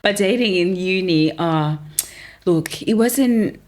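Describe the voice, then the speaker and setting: A woman's voice: lowish voice, slow. A young woman, chatting informally and expressively as a podcast guest, with "like" sprinkled through her sentences. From Zimbabwe. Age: young adult. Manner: informal, expressive, heavy use of "like". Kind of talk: casual conversational chat.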